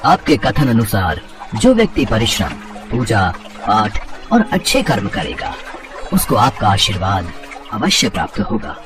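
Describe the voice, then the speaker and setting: A man playing a solemn god who entreats a higher lord with formal, reverent declamation in a mythological story. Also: sing-song, measured pace, far from the mic, music in the background. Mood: reverent, grave.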